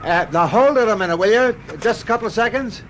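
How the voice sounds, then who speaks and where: Over a clearly noisy bed of radio effects comes an affable middle-aged husband with a fairly high male voice. He talks in a folksy, everyday way and is quick to grumble when slighted. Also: animated. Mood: indignant, baffled. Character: middle-aged suburban husband and father, affable, easily irked. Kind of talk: radio drama acting. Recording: noisy radio drama with background sound effects.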